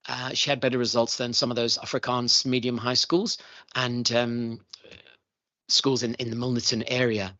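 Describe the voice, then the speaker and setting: A man's voice: mid-range voice, a bit bright, no echo. A middle-aged man giving earnest, emphatic testimony to a parliamentary committee, repeating his key points. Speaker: chief schools evaluator testifying to a committee. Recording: dull lo-fi committee room audio.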